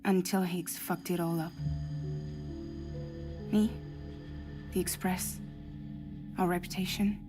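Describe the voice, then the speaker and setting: A woman playing a guarded, resolute courier in a video game cutscene, speaking in a measured, confiding way. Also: fairly low pitch, steady tone. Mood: bitter. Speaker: courier company leader. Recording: music behind the voice.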